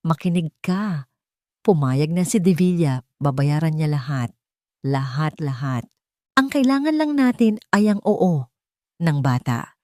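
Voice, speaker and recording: A woman's voice: low voice, lively intonation, unhurried, a little mellow, dry recording, studio quality. Woman voicing an insistent, scheming middle-aged uncle. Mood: insistent, curt. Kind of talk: audio storybook character dialogue.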